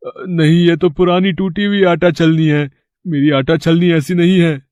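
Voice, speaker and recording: A man's voice: on the high side, fairly even intonation, normal speed, a little mellow, no room sound, natural voice. A man voicing a greedy elder brother in a storybook tale, theatrical and falsely sweet, with whiny pleading. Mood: fake sobbing. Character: greedy, scheming elder brother faking grief.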